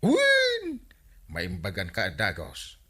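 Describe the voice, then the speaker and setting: A man with an Ilocano accent playing a scheming, money-minded, excitable older village man in a radio comedy drama, exclaiming and wheedling. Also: fairly low pitch, takes it slowly, exaggerated voice. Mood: sly, excited.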